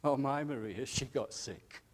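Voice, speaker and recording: A man voicing an elderly widowed nursing home resident who talks slowly, haltingly and tenderly, often saying "aye". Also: medium pitch, close to an everyday voice. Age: older. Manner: slow, halting, chuckling, says "aye" often.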